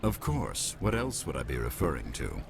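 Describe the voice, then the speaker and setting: An actor playing an urbane old male alien in a game, with a courtly, wry, measured manner. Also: rumbling low, huge pitch swings, gravelly, a little hiss or hum, heavily acted. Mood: wry, courteous.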